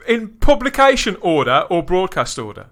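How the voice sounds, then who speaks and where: Bright, upbeat male podcast host. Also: high voice, sing-song. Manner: upbeat, theatrical links with quick laughs. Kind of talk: casual podcast chat.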